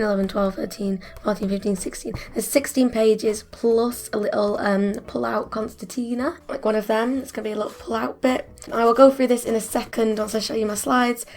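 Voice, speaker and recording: A woman in her twenties with a croaky voice, chatting casually as an art student vlogger, often laughing at herself. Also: medium pitch. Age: 20s. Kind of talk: casual vlog narration.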